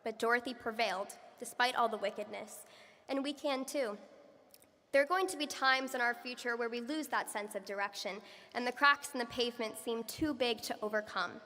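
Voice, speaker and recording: A young woman delivers a graduation speech with a clear, bright voice set a little high. Her delivery is earnest and measured, and long reverb rings around her in a large hall. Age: young adult. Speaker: student speaker. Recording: echoey hall from far off.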